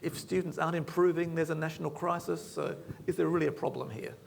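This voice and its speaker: A man moderating a panel discussion in an auditorium, brisk and affirming as he puts questions to guests. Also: a little high, gravelly, slight background noise. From Australia. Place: large auditorium.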